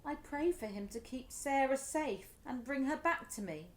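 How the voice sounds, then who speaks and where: A woman's voice: high voice, lively intonation, moderate pace. An actress playing a stubborn, devout, grieving mother in a radio drama. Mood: pleading, hopeful. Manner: insistent, repetitive, emotionally volatile.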